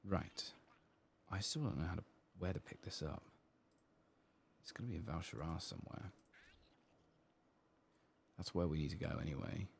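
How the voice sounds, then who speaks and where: Soft spoken asmr gamer guy, really low voice, lots of vocal fry, murmuring to himself close to the mic. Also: moderate pace, pauses often, a little hiss or hum. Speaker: gameplay streamer.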